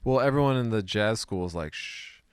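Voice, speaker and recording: A soft-spoken male drummer from Hawaii and Washington State, answering interview questions hesitantly and often saying "like". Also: on the low side, lively intonation, brisk, slight background noise. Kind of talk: casual interview chat.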